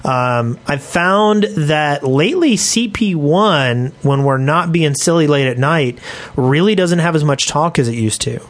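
A man with a slightly high voice chats casually as a podcast co-host. He is blunt and opinionated, with a joking streak. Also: animated, a little slow.

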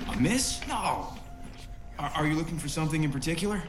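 Man as uneasy male shop clerk, stammering, hesitant, very animated intonation, comic horror audio acting, music underneath. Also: fairly high pitch, brisk, lightly acted. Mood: nervous. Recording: music behind voice.

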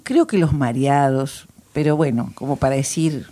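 Low-voiced woman reminiscing. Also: moderate pace, warm tone. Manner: reflective storytelling. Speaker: guest. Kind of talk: interview conversation.

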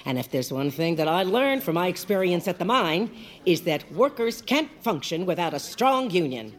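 An actress playing a bossy, stubborn union organizer with a brassy, declamatory manner in a comic audio drama. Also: female voice, on the low side, very animated, moderate pace.

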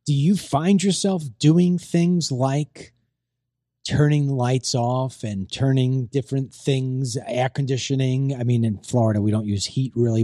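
A middle-aged man hosts a loose, chatty show, his voice strained after losing it. His tone is mellow and dark, recorded dry and close with no room sound. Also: medium pitch, dry recording. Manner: chatty, rambling, repeats himself. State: strained voice, recovering from voice loss. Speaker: host. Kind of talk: casual talk show chat.

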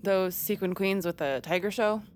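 A woman's voice: medium pitch, normal speed, darkish tone, a little hiss or hum, close to an everyday voice. A woman playing a slangy, affectionate young woman with a casual, wry manner in an audio drama. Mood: defensive.

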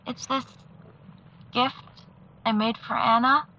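A sleepless, hesitant woman in an audio drama. Her voice is fairly high and bright, and she talks in self-conscious starts. The sound is slightly dulled. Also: fairly even intonation, muffled recording. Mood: uneasy, sheepish. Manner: hesitant, halting. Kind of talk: naturalistic audio drama acting.

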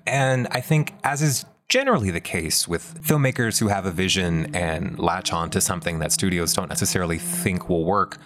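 A man talking casually and emphatically about pop culture, in a talkative, animated way. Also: deep voice. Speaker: film discussion panelist.

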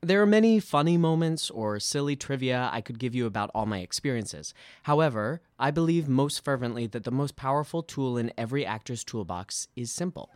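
A man who works as a stage actor reads his own scripted personal essay with polished, deliberate delivery. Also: medium pitch, expressive, no room sound, studio quality. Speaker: musical theatre actor.

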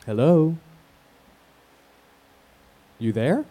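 Slow, terse radio host. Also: male voice, big pitch swings, mellow, heavily acted. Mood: guarded flirting. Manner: terse, low-key, clipped replies. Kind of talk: radio play acting. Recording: some background noise.

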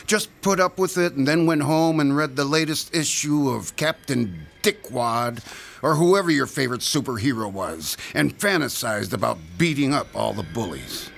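Male thug for audio drama: taunting, mock-friendly menace, gritty creaky voice, very animated pitch. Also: moderate pace, faint music behind. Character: menacing, sneering male extortionist thug, mocking bully. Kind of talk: modern audio drama acting.

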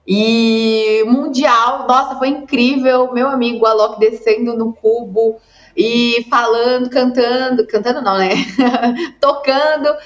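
A woman's voice: on the high side, steady tone, takes it slowly. A young woman, an esports caster, chatting emotively with lots of fillers in a casual video call interview, on an echoey, dull lo-fi recording.

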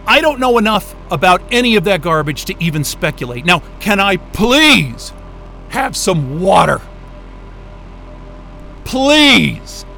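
A man's voice: high-pitched, very animated, takes it slowly, creaky, bright, forceful tone, faint music behind, heavily acted. An actor playing an exasperated, foul-mouthed male astrophysicist in an audio drama, irritable and sarcastic. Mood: furious, exasperated.

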